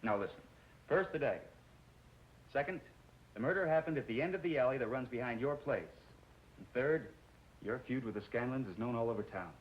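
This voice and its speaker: An actor playing a matter-of-fact frontier deputy in an old television western, brisk and logical. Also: male voice, mid-range voice, expressive, natural voice. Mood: firm. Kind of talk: 1950s television western acting. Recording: muffled old TV soundtrack, faint noise.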